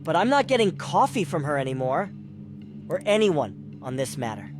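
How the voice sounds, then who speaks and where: A man's voice: fairly high pitch, sing-song, normal speed. A man playing a loyal, chipper young delivery worker in a visual novel game, with an eager, deferential manner. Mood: teasing, friendly. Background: loud music.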